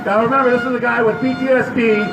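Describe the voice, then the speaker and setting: A man delivering a loud protest speech at an outdoor rally, firing questions at the crowd. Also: extremely high pitch, flat delivery, fast, dull audio, over music. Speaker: rally speaker. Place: outdoor city square. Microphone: public address system heard from a distance. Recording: echoey outdoor PA from far off.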